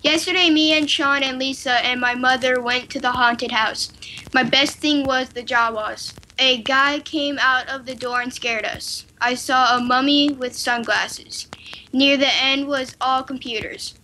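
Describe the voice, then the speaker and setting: A woman's voice: sits high, fairly even intonation, unhurried, pushed and bright, poor audio. A woman reading a childhood diary entry aloud. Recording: faint noise with clicks.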